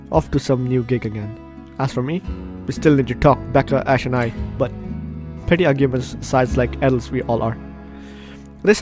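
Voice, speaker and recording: A man hosting a game playthrough, reading the story aloud with reactions, often stumbling and correcting his words. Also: medium pitch. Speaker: gaming video host. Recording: game music behind the voice. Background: game music.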